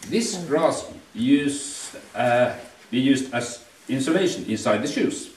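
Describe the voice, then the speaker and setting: A man's voice: mid-range voice, lively intonation, takes it slowly, a little mellow. A man whose first language is Sami, explaining things to a tour group in careful non-native English. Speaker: tour guide. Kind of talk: guided tour talk to visitors. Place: indoor venue with tour group. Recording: echoey room from far off with faint noise.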